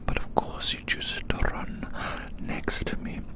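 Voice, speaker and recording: An ASMR performer whispering a rambling, theatrical roleplay monologue outdoors, softly repeating phrases in wordy language. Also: a little slow. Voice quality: soft unvoiced whisper. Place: outdoors in a large open field.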